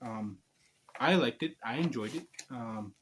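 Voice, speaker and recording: A man's voice: mid-range voice, expressive, a little slow, slight background noise. A man reading a storybook aloud and chatting casually to viewers, with a playful, giggly manner.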